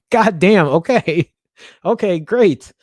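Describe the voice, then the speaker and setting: A man's voice: sits high, lively intonation, drawn-out words, darkish tone, no room sound. A man with a Chicago accent hosting a casual tech news show, reading an article aloud and breaking off into chatty asides and little stumbles.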